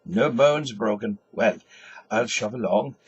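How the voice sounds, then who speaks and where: An older man voicing a cheery, breezy man taking his leave in a literary reading, loud and offhand. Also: on the low side, slow, husky, highs cut off, exaggerated voice.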